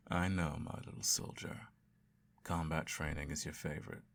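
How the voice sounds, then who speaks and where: Deep gravelly man, soft measured tone. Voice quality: gravelly, husky. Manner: calm, measured, softly murmuring, drops into whispers. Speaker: emperor parent in an audio roleplay. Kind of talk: scripted audio roleplay, soft bedtime storytelling.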